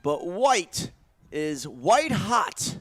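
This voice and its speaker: Live track commentator: man with a higher-set voice, conversational delivery that builds with the race. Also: big pitch swings, very slow, fairly bright tone.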